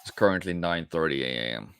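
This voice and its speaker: A man's voice: extremely low pitch, expressive, brisk, gravelly, fairly bright tone, clear, resonant tone. A man hosting a live trading stream, talking casually and answering viewers directly as he works through the charts. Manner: casual, chatty, answers viewers directly, calls them mate. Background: faint background music.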